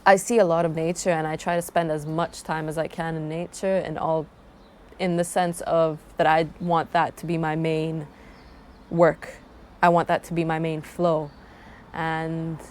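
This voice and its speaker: A woman's voice: low-pitched, flat delivery, measured pace, a little mellow, a little hiss or hum. A young woman from Croatia introducing herself and her travel channel, talking in a thoughtful, rambling way with frequent restarts. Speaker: travel vlogger. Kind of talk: casual self-introduction to camera.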